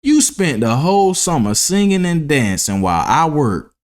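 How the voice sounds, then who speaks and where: A man's voice: on the high side, sing-song, moderate pace, voice pushed up. A man voicing a hardworking, sensible ant in a children's storybook, earnest and admonishing. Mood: stern, scolding. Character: hardworking, sensible, stern male ant who lectures. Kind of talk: children's storybook read-aloud.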